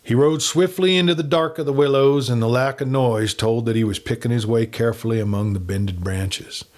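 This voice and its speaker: A man's voice: mid-range voice, fairly quick, a little mellow. Man narrating a dramatic western story. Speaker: audiobook narrator.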